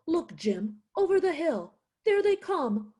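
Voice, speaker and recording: A woman's voice: extremely high pitch, big pitch swings, slow pace, warm tone, heavily acted. A woman playing a stubborn, indignant poor widowed mother in a theatrical radio play. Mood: panicked, faint. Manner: indignant, insistent, dramatic.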